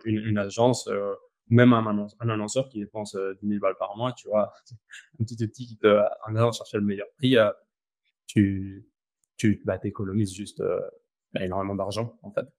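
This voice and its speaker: A man with an Argentine accent, talking casually as an interview guest, relaxed and slangy, with frequent fillers and restarts. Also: fairly low pitch, a little fast, dry recording, low-quality recording. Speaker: guest, company founder.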